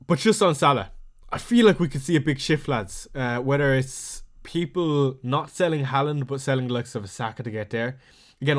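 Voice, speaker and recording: A man with a thick Irish accent hosting a casual fantasy football live stream, reading viewer messages aloud and answering each one quickly. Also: mid-range voice.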